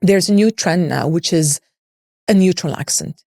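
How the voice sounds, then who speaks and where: A woman's voice: lowish voice, normal speed, vocal fry, dark tone, no room sound. A woman with a neutral international English accent, explaining as a coach in an interview in an articulate, emphatic way. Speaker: voice and public speaking coach, interview guest.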